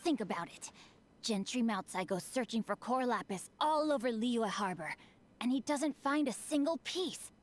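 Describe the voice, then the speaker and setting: A woman voicing a refined, bookish teenage boy swordsman who speaks formally and calmly. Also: fairly high pitch, moderate pace, natural voice. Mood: composed. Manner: eloquent, composed, formal.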